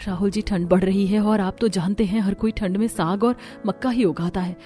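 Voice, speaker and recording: A woman playing a gentle, worried young daughter-in-law from a poor household in a cartoon, respectful and concerned. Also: medium pitch, steady tone, a little fast, soft background music, lightly acted. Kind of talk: Hindi cartoon story dialogue.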